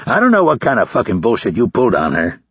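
A man's voice: medium pitch, animated, fairly quick, gravelly, fairly bright tone, husky, no room sound, highs cut off, voice pushed up, full character voice. Man voicing a barking, taunting drill-instructor tough guy. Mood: angry, barking. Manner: snapping, barking, profane. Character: sour, taunting, foul-mouthed, barking male drill-instructor type. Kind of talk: audiobook character voice.

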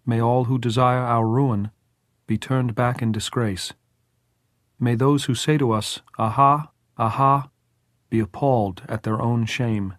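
A man playing a solemn mourner reciting scripture in precise, measured, pleading lines for an audiobook. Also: mid-range voice, steady tone, no echo, studio quality, close to an everyday voice. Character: solemn man reciting a psalm over a grave.

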